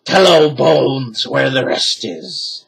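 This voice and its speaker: Man as a gruff gold-greedy pirate captain; slow growling roar, husky. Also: medium pitch, sing-song, pitched above the performer's own voice, full character voice, rough recording.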